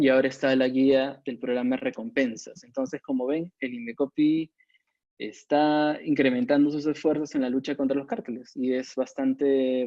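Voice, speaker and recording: A man presents in a mellow, relaxed voice of middling pitch. He talks in an informal lecturing way, halting and pausing often. The sound is dry and a bit dull, like compressed webinar audio. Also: no room sound. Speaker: webinar presenter, competition agency adviser. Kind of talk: informal expert lecture with slides. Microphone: video call microphone.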